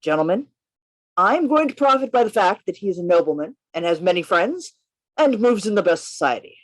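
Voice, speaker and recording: A bold gentleman adventurer played by a woman tells his tale as in a radio play. The delivery is theatrical and emphatic, with a very lively pitch that swings around a middle register. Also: brisk, rough recording. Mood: scheming. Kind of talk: radio play reading, first-person narration. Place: remote reading over a video call.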